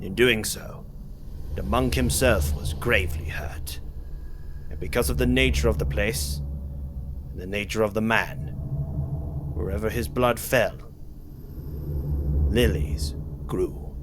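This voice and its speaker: A man playing a solemn, secretive storyteller in a comic dub, speaking in a grave, formal, measured way. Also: lowish voice, background noise. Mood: grave storytelling. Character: solemn, secretive elder recounting an old dragon legend.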